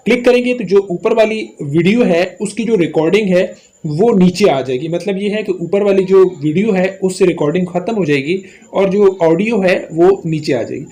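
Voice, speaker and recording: A man's voice: sits high, moderate pace, mellow. A man with a Pakistani accent hosting a step-by-step phone app tutorial. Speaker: host of a tech tutorial channel. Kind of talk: scripted-style phone app tutorial narration.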